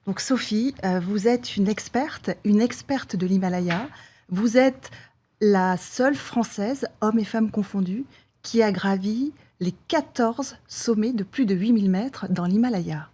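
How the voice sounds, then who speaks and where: A woman's voice: medium pitch, unhurried, warm tone, breathy edge. A woman hosting a podcast interview, asking long questions with frequent hesitations and restarts. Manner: hesitant, stumbling over words while asking. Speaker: podcast host.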